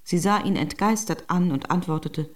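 A daughter-in-law played by an actress recalls her family in a radio drama monologue. Her female voice sits on the low side, composed and fond, telling anecdotes at an unforced, storytelling gait. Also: moderate pace, natural voice. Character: daughter-in-law fondly recounting family memories.

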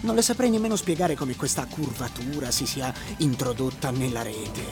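An actor playing a calmly evasive, patronizing sleeping-car conductor in a theatrical radio drama. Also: male voice, medium pitch, normal speed, natural voice. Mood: smug, lecturing. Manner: patronizing, rhetorical, didactic. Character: condescending male sleeping-car conductor, calm and slyly evasive. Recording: voice over prominent music bed. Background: music.

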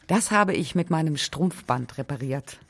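An actress plays a resolute wife and mother reading her letters in an audio documentary. Her voice is on the low side. She is composed, plain and matter-of-fact. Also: animated, moderate pace.

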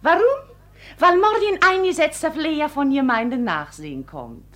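Stage drama: wronged young servant mother, high and highly animated, plaintive, broken phrasing. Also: normal speed. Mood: sullen, defiant. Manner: broken German, plaintive outbursts. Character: desperate young Polish servant girl, wronged, stubborn mother. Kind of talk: theatrical naturalist stage drama acting.

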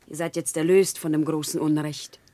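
A woman's voice: deep voice, little pitch movement, moderate pace, dark tone, no echo, close to an everyday voice. A woman playing an earnest, tormented humble wife in a German radio drama, speaking in a solemn, fervent, declamatory way.

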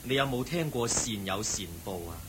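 An actor with a Hong Kong Cantonese accent voicing a calm, patient Buddha who gently teaches. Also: male voice, mid-range voice, normal speed, a little hiss or hum, close to an everyday voice, poor audio. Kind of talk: Cantonese radio drama acting.

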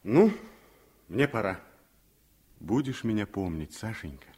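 A man's voice: on the low side, big pitch swings, unhurried, dark tone. A man playing a kind, cheerful young student tutor, speaking tenderly and with measure in a Soviet radio play. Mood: tender, stirring.

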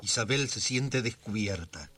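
A man giving calm, scripted narration in a radio drama. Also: lowish voice, measured pace, slight background noise.

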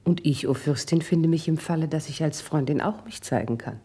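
An actress playing a consoling, devoted lady friend of a princess, speaking formal measured verse in a classical radio drama with a dark, husky voice. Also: low-pitched. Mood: assured.

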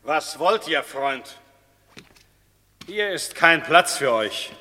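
A man's voice: a little high, animated, slow, pushed and bright, long reverb tail, heavily acted. An actor playing a rude male servant who brusquely turns a stranger away from the house. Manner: brusque, scornful.

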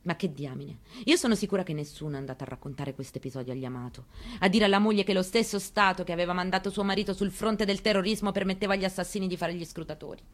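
A woman acts out an elderly mother in mourning, in a slightly low voice. She delivers a theatrical memoir monologue, bitter and defiant, pressing her points with rhetorical questions like someone demanding answers. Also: very fast, close to an everyday voice. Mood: indignant. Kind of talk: dramatic stage reading of a memoir, monologue.

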